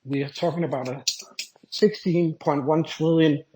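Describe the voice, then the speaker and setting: Male panelist, upbeat and keen, higher pitch, occasional word repeats. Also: steady tone, normal speed, mellow, slight background noise, rough recording. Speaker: panel guest, company founder. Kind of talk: online audio panel discussion.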